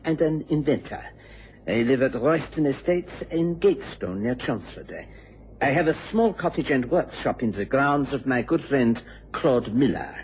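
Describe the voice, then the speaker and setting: An actor playing an anxious, secretive scientist in a radio drama, with hesitant, polite, formal speech. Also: male voice, on the high side, lightly acted. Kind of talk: old-time radio drama acting. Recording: noisy muffled old radio recording.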